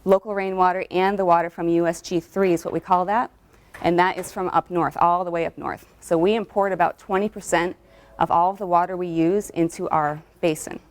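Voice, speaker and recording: A woman's voice: lowish voice, fairly even intonation, a little fast. Woman giving a friendly slide talk. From New England and Southern California. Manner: friendly, explanatory, with frequent ums. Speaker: guest speaker, cytogenetic technologist.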